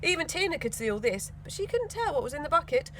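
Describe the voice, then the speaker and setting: A woman reads a children's story in a high voice with lively, swooping pitch. She leans dramatically into key words, and there is clear noise behind her. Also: fast. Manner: animated, dramatic emphasis. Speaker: school teaching assistant reading a children's book. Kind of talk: expressive storybook narration.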